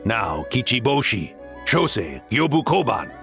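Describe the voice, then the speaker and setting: A man's voice: mid-range voice, very animated, a little slow, gravelly, pushed and bright, soft background music, heavily acted. A man playing a fussy, proud older tanuki spirit in a video game, theatrical and grumbling. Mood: fussy, stern. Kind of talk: animated video game voice acting.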